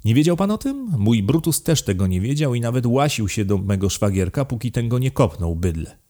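A man voicing an excitable, contemptuous, deranged aristocrat count in an audiobook, imperious and given to emphatic outbursts. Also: medium pitch, brisk, lightly acted. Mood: unhinged. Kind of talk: dramatized audiobook reading.

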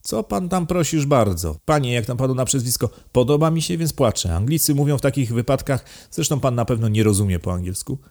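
A man voicing an excitable, contemptuous, deranged aristocrat count in an audiobook, imperious and given to emphatic outbursts. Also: mid-range voice, fairly quick, few pauses, voice pushed up. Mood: imperious, capricious. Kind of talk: dramatized audiobook reading.

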